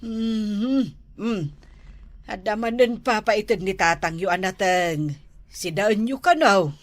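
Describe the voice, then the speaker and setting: A woman's voice: mid-range voice, takes it slowly, dry recording, natural voice. A woman acting an older, nagging village mother in an Ilocano comedy drama, with an Ilocano accent. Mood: resigned.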